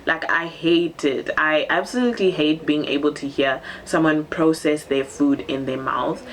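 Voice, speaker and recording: A bubbly teenage girl from Zambia, raised in Cape Town, chats through a casual get-to-know-me vlog. Her voice sits unusually low for a young woman. She fills pauses with ums, and a faint hiss of room noise runs underneath. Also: poor audio. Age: teens. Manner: chatty and bubbly, with frequent ums and laughs. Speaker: vlogger.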